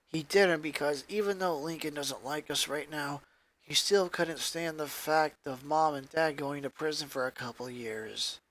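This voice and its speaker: Storybook role: subdued teen girl, read by a man, solemn, weary, slightly raised pitch. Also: measured pace, fairly bright tone, low-quality recording.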